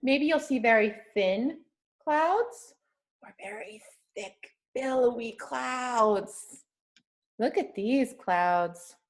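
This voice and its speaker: Slow, high-voiced woman reading to children. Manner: encouraging, simple child-directed talk with frequent questions. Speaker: teacher reading aloud to young children. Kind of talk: children's picture book read-aloud with questions.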